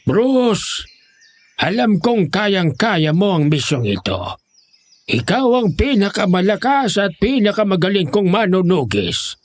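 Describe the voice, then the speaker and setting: A man playing a commanding monster-hunter chief, swooping pitch. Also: very animated, measured pace, no echo, full character voice. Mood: grave, commanding. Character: commanding leader of a secret monster-hunting brotherhood. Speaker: actor in an audio drama. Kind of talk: Tagalog horror audio drama acting.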